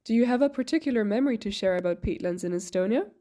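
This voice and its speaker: A woman hosting a podcast, reading scripted interview questions plainly and clearly. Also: medium pitch, lively intonation, fast, dark tone.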